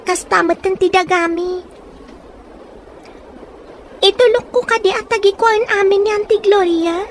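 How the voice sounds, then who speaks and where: A woman's voice: very high voice, measured pace, pauses often. A woman playing a poor, resilient young orphan in an audio drama, plain-spoken and caring, with a northern Philippine accent. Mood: sorrowful. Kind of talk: acted audio drama dialogue. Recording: faint background noise.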